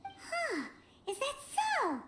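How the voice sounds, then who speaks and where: A woman voicing a tiny, excitable, curious childlike companion in a video game. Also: very high-pitched, very slow, roomy sound, noisy background, exaggerated voice. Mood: bright, curious.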